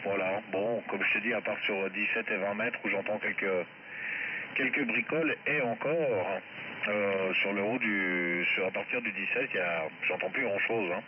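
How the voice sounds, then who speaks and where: A man's voice: lowish voice, normal speed. Shortwave radio static, dull sound, man, amateur radio operator, casual on-air chat. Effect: shortwave amateur radio transmission. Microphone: heard through a radio receiver. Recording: muffled, static-filled shortwave radio reception.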